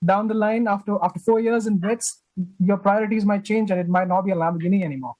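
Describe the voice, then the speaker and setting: A man's voice: sits high, fast talker, a little mellow, dry recording, rough recording. A young man from India on a panel, light and joking. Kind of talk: casual panel talk.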